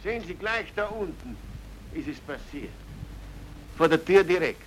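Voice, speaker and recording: Worked-up doorman, highish voice, repeats himself, old radio drama. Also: lively intonation, slight background noise, low-quality recording. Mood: agitated, emphatic. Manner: halting, emphatic, repeats himself. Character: excitable Viennese porter, eyewitness to an accident. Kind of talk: 1950s-60s German radio drama acting. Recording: old radio play with music.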